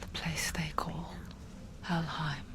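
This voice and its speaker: An actress playing an eerie narrator inside a haunted mind in a dark fantasy game, speaking in a hushed, ominous, intimate way. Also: female voice, low voice, flat delivery, takes it slowly, whispered. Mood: grave. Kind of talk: scripted dramatic game narration.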